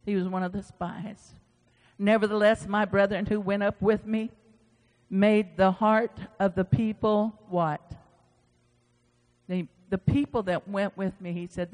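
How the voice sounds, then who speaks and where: A man preaches in a long, ringing hall reverb. His delivery is conversational, with folksy asides. He repeats phrases for emphasis as he works through scripture. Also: high-pitched. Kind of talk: informal sermon with scripture reading.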